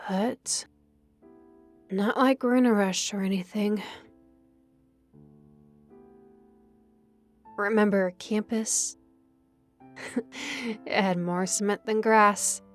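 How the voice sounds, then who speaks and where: Slow, menacing woman captor acted by a woman. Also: medium pitch, fairly even intonation, soft background music, lightly acted. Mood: bored taunting. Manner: slow, taunting, drifting into breathy whispers. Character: menacing, mocking female lighthouse keeper taunting her captive. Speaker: audio roleplay voice actor. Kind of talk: thriller audio roleplay, slow intimate monologue.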